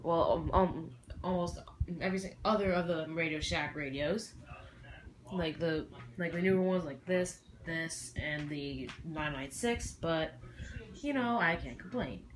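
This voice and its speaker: A hobbyist presenter with a feminine-sounding voice giving a casual, halting, rambling show-and-tell in a noisy amateur home recording. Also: medium pitch.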